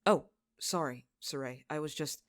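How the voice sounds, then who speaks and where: A woman's voice: low voice, normal speed, no echo, studio quality, lightly acted. A woman voicing an earnest young male lord in a story, solemn and subdued. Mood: weary.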